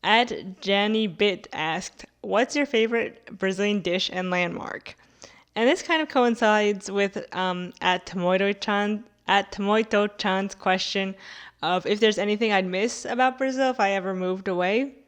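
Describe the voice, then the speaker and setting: A woman's voice: medium pitch, unhurried, clear, resonant tone. A young American woman talking casually to her viewers in a conversational way, dropping in filler words like "like" and "you know". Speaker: video creator answering viewer questions.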